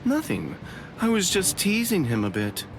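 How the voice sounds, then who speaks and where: An actor playing a sly, mocking villain's lieutenant in an anime game dub, with a teasing, falsely casual drawl. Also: male voice, high-pitched, wildly swooping pitch, moderate pace, music in the background, heavily acted.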